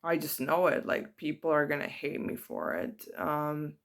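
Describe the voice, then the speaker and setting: A woman giving a personal spiritual reflection to her viewers. She speaks in a hesitant, soft-spoken and heartfelt way, with many ums. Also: sits low, animated, a little slow. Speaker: spiritual vlogger.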